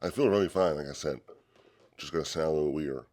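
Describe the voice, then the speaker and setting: A young man with a very low voice and a blocked, stuffy nose from a cold talks through a solo podcast. He keeps the microphone right at his mouth and wanders loosely, restarting sentences and filling gaps with "uh" and "um.". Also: fast talker, darkish tone. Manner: rambling, loose delivery with many fillers and restarts. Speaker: podcast host. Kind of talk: casual solo podcast talk. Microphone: microphone held close to the mouth.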